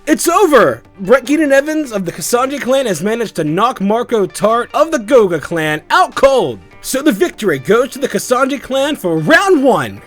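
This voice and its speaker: An excitable male tournament announcer, performed by a man for a visual novel. His voice is high and very animated, with theatrical commentary over light music. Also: moderate pace, hardly any pauses, full character voice. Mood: excited.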